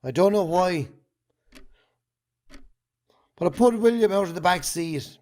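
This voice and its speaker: A man with an Irish accent acting a defensive, guilt-ridden farming man in an amateur drama, speaking haltingly. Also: high-pitched, lively intonation, darkish tone. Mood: halting, remorseful.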